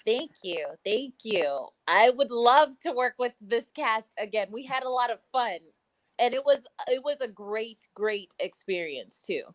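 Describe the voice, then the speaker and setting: A woman's voice: fairly high pitch, lively intonation, unhurried, low-quality recording. A woman filmmaker on a panel, friendly and gracious.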